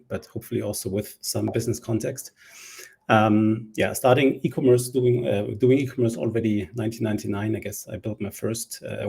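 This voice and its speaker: Gravelly man, halting speech. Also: fairly low pitch, warm tone. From Germany. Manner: hesitant, many fillers. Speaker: solution engineering lead. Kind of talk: casual self-introduction.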